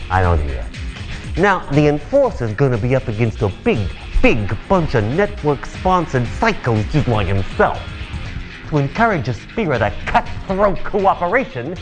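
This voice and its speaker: An actor playing a smarmy, gleefully sadistic host of a futuristic TV race show, theatrical, mock-cheerful and sardonic. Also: male voice, a little high. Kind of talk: theatrical game show presenting.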